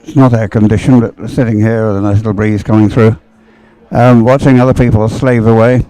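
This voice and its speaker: Mellow-voiced man commentating on sport. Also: lowish voice, fairly even intonation, a little fast, no echo, poor audio. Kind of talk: conversational race commentary. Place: open-air commentary position.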